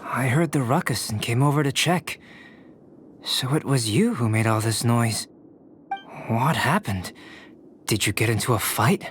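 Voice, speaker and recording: An actor playing a calm, formal young male alchemist in a video game, speaking in a measured way over some background noise. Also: medium pitch, lightly acted. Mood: questioning. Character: calm, composed young male alchemist, mildly reproachful mentor. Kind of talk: scripted video game voice acting.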